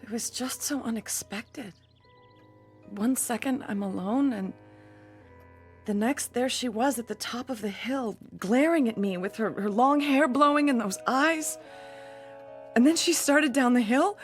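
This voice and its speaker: Frightened, fragile teenage daughter played by a woman, very high voice, halting and emotional, for radio drama. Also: faint music behind, lightly acted. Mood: shaken. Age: young adult. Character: terrified eighteen-year-old daughter, fragile and shaken.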